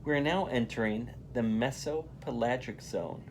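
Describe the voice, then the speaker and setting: A man reading a children's picture book aloud as a volunteer, in an expressive, emphatic way. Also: medium pitch, moderate pace, slight background noise. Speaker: volunteer read-aloud reader.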